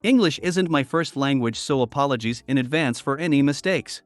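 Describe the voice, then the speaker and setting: A man narrating reddit posts in a plain, even read-aloud style. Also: mid-range voice, moderate pace, dry recording, faint music behind. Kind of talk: scripted narration reading posts.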